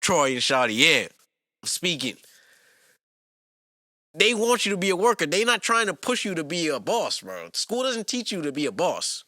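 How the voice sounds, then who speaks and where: Podcast co-host, male, from New York: animated pitch, sharp bright tone, slang-heavy hype. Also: normal speed, pauses often, no echo, clean studio sound. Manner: lively, repetitive hype phrases, slangy. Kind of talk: casual podcast chat.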